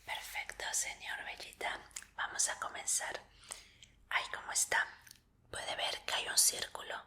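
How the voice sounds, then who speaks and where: Woman playing attentive female examiner for an ASMR roleplay: crisp, very bright whisper, soothing and polite. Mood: gentle, attentive. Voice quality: soft breathy whisper. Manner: slow, soothing, polite instructions with encouragement. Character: gentle, attentive female medical examiner preparing a fighter.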